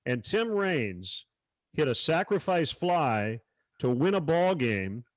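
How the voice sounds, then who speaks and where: A man's voice: fairly high pitch, sing-song, slow pace, no echo. A man working as an announcer, hosting a virtual sports panel discussion. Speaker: panel host, baseball announcer.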